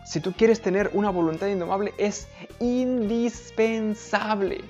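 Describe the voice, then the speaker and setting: A woman's voice: medium pitch, fairly even intonation, unhurried, darkish tone, lo-fi sound, faint music behind. A woman with a Mexican accent preaching a live-streamed sermon, earnest and emphatic, often asking the listeners direct questions.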